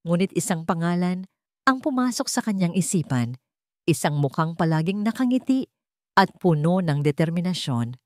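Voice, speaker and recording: A woman with a Filipino accent narrates a dramatic tale in a fairly low voice. The sound is dry and close, with very little room in it. She reads from a script as the storyteller. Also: expressive, normal speed, mellow, dry recording.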